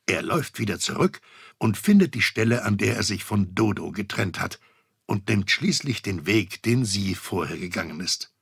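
A man's voice: lowish voice, lively intonation, normal speed, vocal fry, fairly bright tone, breathy edge, no echo, studio quality, full character voice. A middle-aged man narrating a children's audio adventure as a genial storyteller. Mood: genial, wry. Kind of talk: scripted narration.

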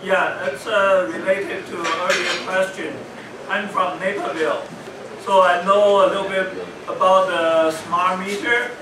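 A man from Taiwan, speaking haltingly with many fillers as an audience member at a meeting. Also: high-pitched, level tone. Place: meeting room. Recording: echoey room, distant mic, noisy.

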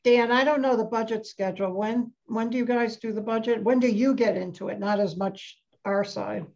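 A woman's voice: on the high side, fairly even intonation, normal speed, gravelly, a little mellow. A woman on a committee video call gives matter-of-fact, reassuring input about council scheduling. She answers plainly and keeps it practical. The audio is dull and lo-fi. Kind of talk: meeting discussion.

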